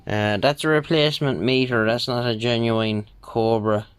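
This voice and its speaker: An Irish man talking casually through a repair job, thinking aloud in an unhurried, colloquial way. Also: mid-range voice. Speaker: radio repair technician. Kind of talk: casual spoken bench walkthrough. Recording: steady background noise, bench clicks.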